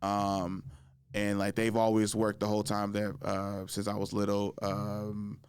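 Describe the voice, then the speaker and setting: A man in his thirties hosting a casual chat, telling stories in a joking, slangy way, often laughing and jumping high in pitch when excited. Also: measured pace, smooth, dry recording. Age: 30s. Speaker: podcast host.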